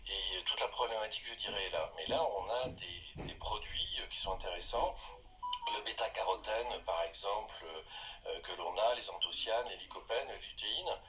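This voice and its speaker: A man's voice: fairly low pitch, moderate pace, lo-fi sound, faint music behind. A male doctor explaining things emphatically and didactically as a guest in a radio interview. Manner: didactic, emphatic, restates points. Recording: slightly distant radio sound, faint noise.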